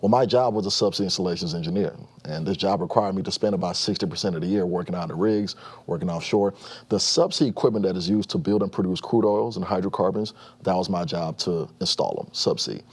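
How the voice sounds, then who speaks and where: A man in his thirties from Nigeria, a guest telling his story in a casual interview, quick and full of restarts. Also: deep voice, slightly rough. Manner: fast-talking, animated storyteller with frequent restarts and laughs.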